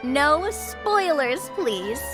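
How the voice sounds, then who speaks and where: A woman's voice: high-pitched, very animated, extremely slow, over music, heavily acted. A woman voicing a bubbly, enthusiastic young female adventurer in a video game, playful and gushing.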